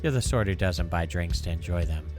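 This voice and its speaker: A man voicing a wry, analytical young woman bartender in a visual novel, measured and probing. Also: deep voice, music in the background, natural voice. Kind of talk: reading visual novel dialogue.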